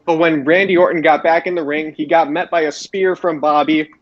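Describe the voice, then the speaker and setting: A man's voice: a little high, fairly even intonation, a little fast, no room sound, dull audio. A man hosting a podcast, recapping wrestling shows for his co-hosts. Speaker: podcast host.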